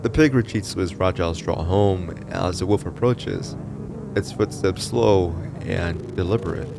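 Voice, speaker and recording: A man's voice: sits low, unhurried, gravelly. A man reading scripted narration for a horror story explainer in a dramatic, ominous storytelling manner. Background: music behind the voice.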